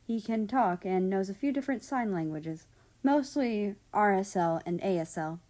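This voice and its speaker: A woman voicing a friendly, energetic schoolboy who talks in an eager, casual way. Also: mid-range voice, moderate pace, natural voice. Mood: chatty, introducing friends. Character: cheerful, chatty, friendly schoolboy, short and energetic.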